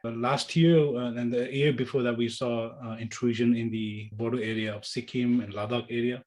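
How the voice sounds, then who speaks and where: A man from Nepal who is an activist guest, answering in an interview with a halting delivery full of fillers and restarts. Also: medium pitch, little pitch movement, a little mellow.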